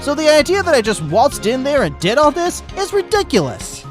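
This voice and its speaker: A man's voice: extremely high pitch, huge pitch swings, normal speed, pitched above the performer's own voice, exaggerated voice. A man voicing Flash, a hyper male sloth witness who talks like a peppy fitness coach. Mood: pumped. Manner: loud, peppy coach-like shouting. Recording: music bed behind voice.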